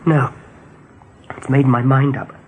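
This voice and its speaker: A woman's voice: rumbling low, steady tone, takes it slowly. A woman playing a small, young, fearful runt rabbit who sees visions, speaking with trembling urgency in a radio drama. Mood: shaken, determined. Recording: noisy old radio play recording.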